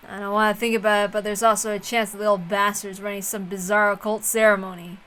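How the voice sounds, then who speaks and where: A woman's voice: medium pitch, little pitch movement, fast, a bit bright, natural voice. A woman reading the part of a blunt, suspicious middle-aged younger brother in a visual novel.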